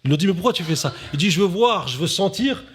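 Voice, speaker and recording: A man hosting a live talk, animated, who often drops Arabic religious phrases and lifts his voice to stress points. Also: fast talker, husky. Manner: animated, rising pitch when stressing points. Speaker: conference host. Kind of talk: conversational talk on stage.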